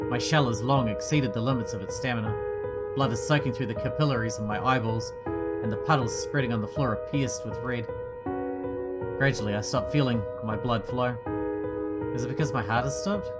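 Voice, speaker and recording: A male gaming host reads a horror visual novel aloud, giving the narration a dramatic delivery. His voice sits at a middling pitch. Loud game music plays under him the whole time. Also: fairly quick. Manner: dramatic reading of text with quieter joking asides. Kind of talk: let's play, reading visual novel text aloud.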